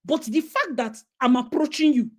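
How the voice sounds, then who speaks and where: A woman's voice: high-pitched, normal speed. A Nigerian woman with a Nigerian accent, chatting animatedly and slipping into pidgin as she tells stories. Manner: animated storyteller, slips into pidgin. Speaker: host. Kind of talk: casual chat.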